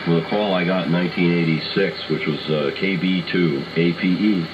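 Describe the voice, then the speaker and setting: A man's voice: low voice, steady tone, moderate pace. A middle-aged or older American man chatting casually and hesitantly as an amateur radio operator, heard through an AM radio transmission. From United States. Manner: rambling, hesitant, reminiscing. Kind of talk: casual ham radio chat. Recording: muffled, noisy AM shortwave radio signal. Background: radio static noise.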